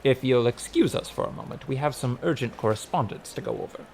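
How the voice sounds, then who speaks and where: Deadpan, officious assistant played by a male narrator, medium pitch, brisk formal delivery, comic fantasy audiobook. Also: creaky, slight background noise, close to an everyday voice. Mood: unflappable, formal. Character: unflappable, mechanically precise male aide to a god.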